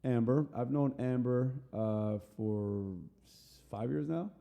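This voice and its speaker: A man's voice: medium pitch, slow pace, vocal fry, phone-quality sound. A man hosting an interview podcast, chatty and self-deprecating, laughing often. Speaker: podcast host. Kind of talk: casual interview conversation.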